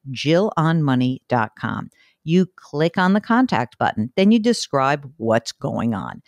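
A woman with a New York accent hosting a call-in advice show, brisk and chatty, asking quick follow-up questions. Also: sits low, darkish tone, dry recording, clean studio sound. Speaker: host.

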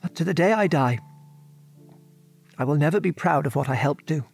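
A man's voice: a little high, moderate pace, gravelly, breathy edge, soft background music. Reflective, remorseful British war-veteran father. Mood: remorseful, haunted. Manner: hesitant, reflective, genteel old-fashioned turns of phrase. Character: remorseful, modest English father, former RAF bomb aimer. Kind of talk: audio drama acting, reminiscing monologue.

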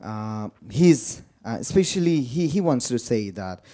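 A man's voice: mid-range voice, very animated, unhurried, dark tone. A man with a Nepali accent and Nepali as his first language, moderating a book talk and introducing the speaker with many fillers and restarts. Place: lecture room.

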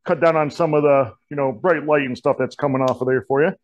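A man in his forties, a shop teacher, talking through a torch welding demonstration in a relaxed, folksy way in an indoor metal shop. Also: a little high, quick pace, highs cut off. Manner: relaxed, folksy, many "kinda" and "you know" fillers. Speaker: diesel technology teacher.

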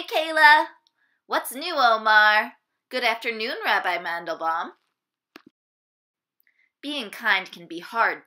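A woman reading a children's picture book aloud in an expressive, deliberate way, phrasing it for young listeners. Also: on the high side. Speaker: storybook reader.

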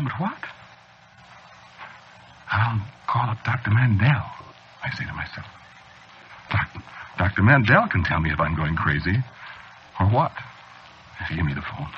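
An actor playing an anxious man losing his grip, narrating to himself in halting, restarting 1940s radio drama style on an old broadcast. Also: deep voice. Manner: jittery, halting restarts, rising to alarm. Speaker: narrator and lead character. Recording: dull, faintly hissy old radio broadcast.

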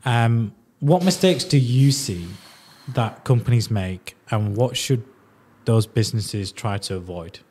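Male podcast host, deep Yorkshire Leeds accent, chatty and self-deprecating, asks long rambling questions. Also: measured pace, a little mellow, husky. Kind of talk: casual interview chat.

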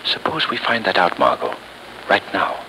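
An actor playing a confident, shrewd gentleman sleuth and secret avenger, measured and dramatic in 1930s radio style. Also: sits low, very animated. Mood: calm explaining. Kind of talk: 1930s radio mystery acting. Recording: noisy 1938 radio recording.

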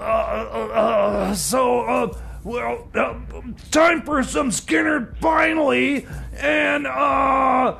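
A man playing a flustered, exasperated, stammering radio DJ in a comedy radio show, raspy and halting. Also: a bit bright, full character voice. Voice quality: high-pitched, gravelly and raspy. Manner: halting, stammering, filler-heavy, rambling. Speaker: radio DJ and host. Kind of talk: comedy radio theater, bumbling on-air banter.

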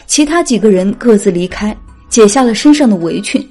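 A woman's voice: medium pitch, animated, a little fast, warm tone, soft background music. A woman reading a dramatic scripted story as an audiobook narrator.

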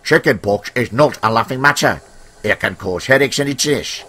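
A man's voice: medium pitch, moderate pace, bright, forceful tone, a little hiss or hum, natural voice. A man voicing a stern, authoritative railway controller in a children's story, with music behind. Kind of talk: children's storybook character acting.

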